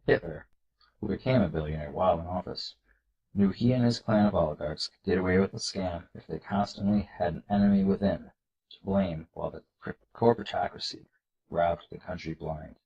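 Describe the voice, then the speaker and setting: A man with a congested, nasal voice from a cold, hosting a video and reading slides aloud in an earnest, measured way. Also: low-pitched, vocal fry, warm tone, lo-fi sound. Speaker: political video host.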